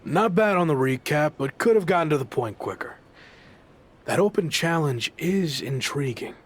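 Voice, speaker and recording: A man's voice: on the high side, lively intonation, normal speed. A man voicing a confident, earnest pro wrestler with a wry streak in a video game cutscene.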